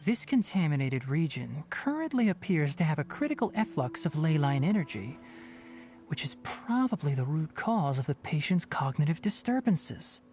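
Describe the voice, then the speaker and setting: A man's voice: fairly high pitch, big pitch swings, moderate pace, a little mellow. A man playing a young, scholarly forest ranger in a video game, speaking in a precise, composed, explanatory manner. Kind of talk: video game character acting. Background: light music.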